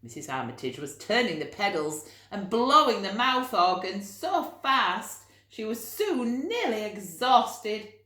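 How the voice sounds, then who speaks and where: A woman teacher reading a storybook to children, expressive, breaking off to put questions straight to her young listeners. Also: medium pitch, measured pace, hardly any pauses, roomy sound. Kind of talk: animated children's storytelling with friendly asides.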